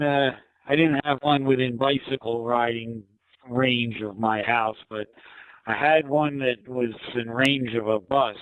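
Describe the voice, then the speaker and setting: An older American man in easygoing, hesitant casual chat, pausing often, heard through a digital ham radio link. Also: mid-range voice, a bit bright, smooth, no room sound, low-quality recording. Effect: digital ham radio voice codec. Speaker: amateur radio operator. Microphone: two-way radio microphone.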